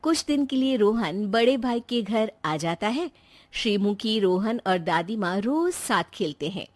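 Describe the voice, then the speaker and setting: Woman storyteller, middle-pitched, for scripted moral tale narration. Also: lively intonation, measured pace.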